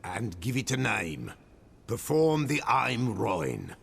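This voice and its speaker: A man's voice: fairly low pitch, wildly swooping pitch, slow, fairly bright tone, heavily acted. An actor playing an old male village soothsayer, cryptic and superstitious, who speaks in archaic, folksy sayings in a video game cutscene. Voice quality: gravelly.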